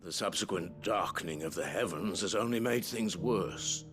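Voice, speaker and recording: An actor playing a formal, deferential chief steward of a noble estate in a fantasy game. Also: male voice, sits low, over music, lightly acted. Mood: grave. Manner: formal, courtly and deliberate. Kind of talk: scripted fantasy game dialogue.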